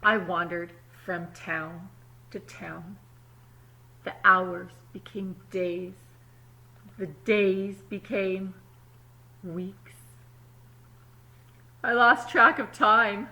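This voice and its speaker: A woman, apparently a teacher, reads a picture book aloud to children expressively, pausing to explain words simply and check in with her young listeners. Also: mid-range voice, fairly even intonation, creaky, pushed and bright, poor audio. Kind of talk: children's storybook read-aloud with asides.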